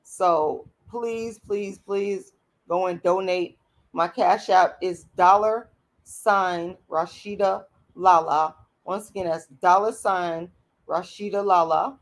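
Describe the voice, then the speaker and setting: Hoarse, blunt woman streaming to viewers. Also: on the low side, fairly even intonation, slow pace. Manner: blunt and emphatic, addresses viewers as y'all. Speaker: channel host. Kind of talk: late-night livestream monologue.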